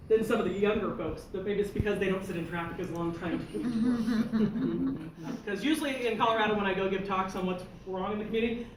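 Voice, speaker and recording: Woman presenter, chatty, roomy distant sound, background noise. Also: mid-range voice. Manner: laughs often, chatty asides. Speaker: survey presenter. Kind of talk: presentation to a meeting. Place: meeting room. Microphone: room mic from afar. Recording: roomy, distant, noisy room recording.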